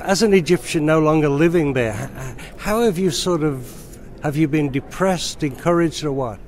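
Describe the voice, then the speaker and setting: A man's voice: on the high side, normal speed. A man from Nigeria hosting an interview, asking friendly questions and answering with frequent "yeah" backchannels. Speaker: interview host. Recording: faint background noise.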